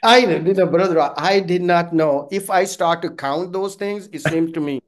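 A middle-aged man from Pakistan, a native Punjabi speaker with a Pakistani accent, chatting as an interview guest in halting, non-native phrasing. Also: high voice. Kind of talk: casual conversational interview. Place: small indoor office, on a video call. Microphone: video call.

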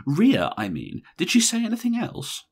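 A gentle, anxious older lady, as a male reader puts her on speaks in a high, fluttering voice, eager and soft. A faint huskiness sits under the lifted tone. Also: huge pitch swings, moderate pace, slightly rough, exaggerated voice. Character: gentle, anxious older woman wedding guest.

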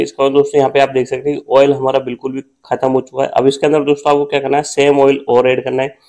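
A man talks through a cooking recipe step by step. His voice stays flat and even, with little rise or fall. He repeats the same instructional phrasing as he goes. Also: mid-range voice. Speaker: cooking channel host.

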